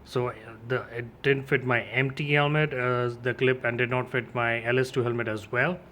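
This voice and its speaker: A man's voice: medium pitch, little pitch movement, moderate pace, a bit bright, slight background noise. A man giving a casual, informal unboxing and demo as a gear reviewer, addressing his viewers directly.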